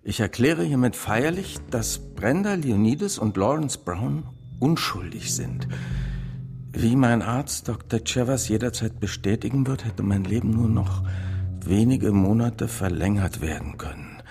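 An actor playing a sober police inspector in a radio drama, reading formally and deliberately. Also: male voice, mid-range voice, expressive, a little mellow, faint music behind. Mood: solemn, official.

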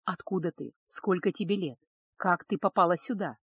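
A woman voicing a world-weary, consoling harem woman in an audiobook, gentle and measured. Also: fairly low pitch, husky, no room sound. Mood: soothing, sad.

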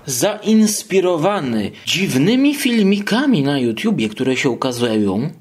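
A man giving a casual podcast monologue in a playful, savouring way, as a guest commentator. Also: a little high. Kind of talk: casual monologue, narrating while handling things.

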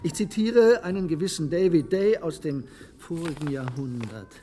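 A man's voice: on the high side, moderate pace, dark tone, natural voice. An actor playing an impassioned, cynical male researcher in a radio play, lecturing in an emphatic, sardonic way. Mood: agitated, scornful. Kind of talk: German radio play acting.

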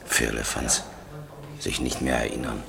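Blunt, exasperated defender, extremely deep gravelly voice, radio crime drama. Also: male voice, little pitch movement, unhurried, a crowd in the background, heavily acted. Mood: impatient. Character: blunt, impatient older-style defender, exasperated with his workmates.

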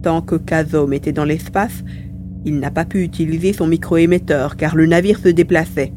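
A woman's voice: low-pitched, steady tone, moderate pace, lightly acted. A woman playing a small, cheerful male mouse-beaver mutant who chatters wordily in an audio serial. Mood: explanatory, wry. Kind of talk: acted dialogue in an amateur audio serial. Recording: faint background hum and noise.

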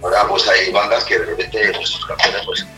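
A middle-aged man from Spain with a rough, cracked voice, talking casually as a rock singer being interviewed, rambling with many restarts and tag questions. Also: sits low, steady tone, a little fast, pushed and bright, soft background music, low-quality recording.

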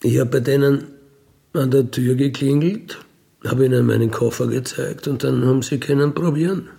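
A man's voice: mid-range voice, steady tone, moderate pace, gravelly, dark tone. A middle-aged man with an Austrian accent, speaking in a candid, conversational monologue, matter-of-fact and often checking in with short tag questions. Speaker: ex-convict burglar telling his life.

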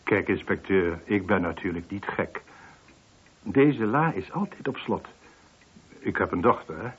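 A muffled old radio recording of a wealthy man near sixty, weary and on the defensive. His voice is lowish and husky, and he explains himself haltingly, repeating words as he goes. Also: expressive, full character voice. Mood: uneasy, explaining. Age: late 50s. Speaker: radio drama actor. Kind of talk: Dutch radio play acting.

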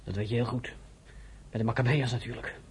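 An earnest young recruit to an underground movement in a radio play. His voice is husky and medium in pitch, determined, and a little distant from the microphone. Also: steady tone, brisk, darkish tone. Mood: defiant, guarded. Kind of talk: radio drama acting.

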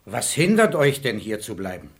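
An old baron, fatherly and fussy, showering his guest with eager courtesy. He speaks in an even middle pitch. This is a radio play performance. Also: normal speed. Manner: effusive, pleading courtesy. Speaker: actor.

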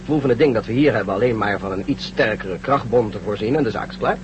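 A dull, noisy old radio play recording. A man plays a respectful, confident scientist with a fairly low voice. He speaks rapidly and politely. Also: close to an everyday voice. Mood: assured. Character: respectful, confident male scientist aboard a spaceship. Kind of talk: Dutch radio drama acting.

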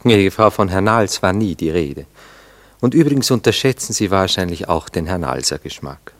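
An actor in a classic radio play playing a melancholy, ironic gentleman, wry and formally polite. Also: low voice, lively intonation, moderate pace. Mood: reluctant, offended. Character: melancholy, ironic gentleman, frivolous romantic turned mocker.

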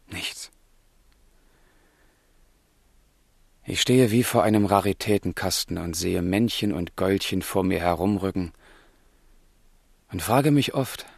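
An actor playing a melancholy, sensitive young gentleman in a literary radio drama, with a husky, gravelly voice and a fervent, brooding delivery. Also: deep voice, no echo, full character voice. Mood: brooding, anguished.

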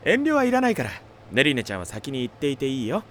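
A man playing a genial, doting middle-aged demon king, gentlemanly and theatrical, in an anime audio drama. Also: medium pitch, huge pitch swings, fast, a little hiss or hum. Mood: doting, teasing.

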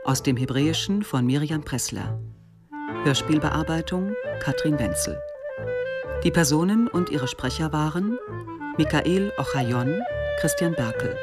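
A radio announcer reading closing credits in a measured, formal manner. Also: medium pitch. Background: loud music with clarinet and guitar.

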